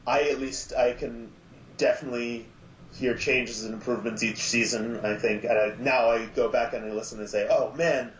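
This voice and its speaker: A man speaking as an interview guest in casual chat, enthusiastic but halting and reflective. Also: medium pitch, steady tone, reverberant, dull audio, a little hiss or hum. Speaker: podcast guest, audio drama maker.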